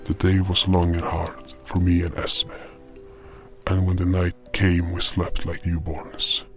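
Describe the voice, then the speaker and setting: A man's voice: sits low, completely flat delivery, a little slow, a bit bright. A man reading scripted first-person fantasy narration as a storyteller. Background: music.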